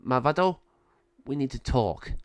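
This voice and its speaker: A man's voice: medium pitch, animated, measured pace, no echo, lightly acted. A man voicing an earnest, wise sorceress, a big sister and heroine in a storybook, speaking emphatically. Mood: stern.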